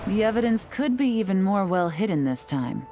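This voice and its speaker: A woman playing a cool, composed female secret agent who speaks in a calm, measured, quietly confident way, in a video game cutscene. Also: mid-range voice, faint music behind, close to an everyday voice.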